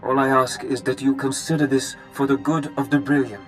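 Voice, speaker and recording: An actor playing a formal, courteous and idealistic count in video game dialogue. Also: male voice, medium pitch, flat delivery, a little fast, faint music behind, close to an everyday voice, rough recording. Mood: awkward, apologetic.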